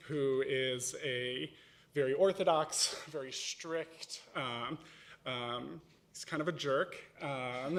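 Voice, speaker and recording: A man's voice: medium pitch, fairly bright tone. A man from Morocco speaking as a panelist, casually telling his life story with frequent fillers.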